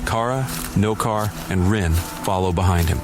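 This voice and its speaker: A man's voice: sits low, a little slow, music in the background. A man narrating a scripted audio drama as its storyteller.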